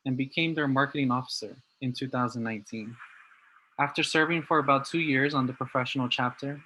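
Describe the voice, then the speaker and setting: A young man from New Jersey giving a prepared talk in an earnest, steady way. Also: mid-range voice, slight background noise, rough recording. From New Jersey, USA. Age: young adult. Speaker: student speaker.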